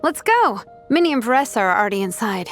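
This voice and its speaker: A woman's voice: a little high, sing-song, fast, soft background music. A woman voicing a confident, breezy, nap-loving young woman in an anime-style video game dub. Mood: relaxed, sleepy.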